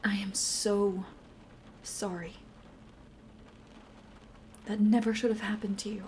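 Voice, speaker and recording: A woman voices an emotional young girlfriend with a temper in an audio roleplay. She talks straight to the listener in an earnest, fairly high voice. Her delivery is slow and unhurried. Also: fairly even intonation, darkish tone, slight background noise. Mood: soft apologetic.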